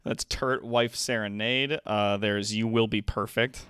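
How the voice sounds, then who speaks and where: A man's voice: on the low side, fairly even intonation, a little slow, loud music behind the voice. A man hosting a livestream with casual, informal, sweary chat. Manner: profane, informal. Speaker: livestream host.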